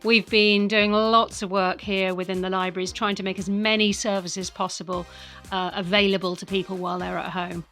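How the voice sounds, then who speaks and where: British woman podcast host, medium pitch, chatty but sort of scripted. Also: level tone, moderate pace, a bit bright, soft background music. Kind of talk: chatty presenting and scripted reading.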